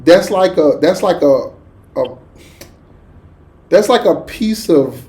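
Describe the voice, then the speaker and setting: Male reaction host, notably high-pitched, casual and slangy, emphatic, repeats phrases for weight. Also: lively intonation, takes it slowly, darkish tone.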